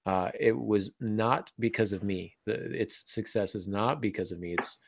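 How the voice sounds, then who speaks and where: A man speaks in a low voice with a gravelly, creaky edge, recorded close and dry. He talks casually as a podcast co-host, thinking aloud in reflective, halting phrases. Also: dry recording.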